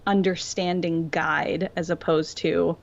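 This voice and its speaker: Low-voiced woman on a slightly dull recording. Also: level tone, slow, gravelly. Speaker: podcast co-host. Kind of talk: casual pop-culture discussion.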